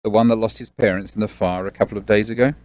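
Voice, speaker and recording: Man playing a low-voiced, nervous young gentleman. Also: fairly even intonation, fast talker, natural voice. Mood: polite, shocked. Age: young adult. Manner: polite, nervous. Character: shy, tipsy, well-bred young gentleman, downcast and nervous. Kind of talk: audio drama dialogue.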